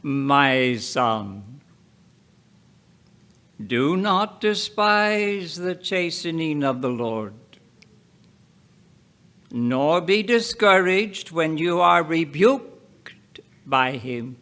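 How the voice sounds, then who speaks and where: Slow, high-pitched man reading scripture dramatically. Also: sing-song, pushed and bright. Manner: deliberate, emphatic, broken into short phrases with pauses. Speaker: Bible reader.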